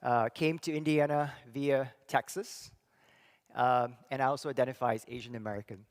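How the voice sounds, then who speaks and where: A middle-aged man from Singapore giving a church talk, thoughtful and halting, with many fillers and restarts. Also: medium pitch, steady tone. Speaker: church speaker and psychology professor. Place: church hall. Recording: long reverb of a hall.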